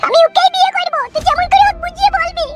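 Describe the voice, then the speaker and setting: A performer voicing a stubborn, lovestruck teenage village girl in a comedy cartoon, with a pitch-shifted voice. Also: fairly even intonation, very fast, a bit bright, faint music behind. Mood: defiant, lovestruck. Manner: insistent, defiant back-talk. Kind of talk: Bengali comedy cartoon dub.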